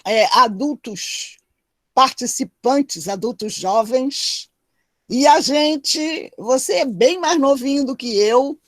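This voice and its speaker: A woman's voice: high-pitched, animated, slow, no room sound. An older woman with a Brazilian accent moderates an online panel and introduces the guest in an affectionate, playful way over a video call. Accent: Brazilian Portuguese.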